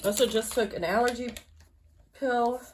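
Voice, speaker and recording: A woman's voice: on the high side, steady tone, normal speed, a little hiss or hum. A woman teaching a sewing project in a casual, chatty way, a little congested and nasal from allergies, with frequent fillers and restarts. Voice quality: slightly nasal. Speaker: sewing instructor. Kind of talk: casual sewalong tutorial.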